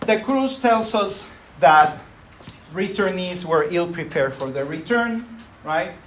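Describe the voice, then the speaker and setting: A man's voice: high-pitched, animated, slow pace, a little hiss or hum. A man giving a university lecture in a conversational way, often checking in with his listeners. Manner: conversational, frequent tag "right?".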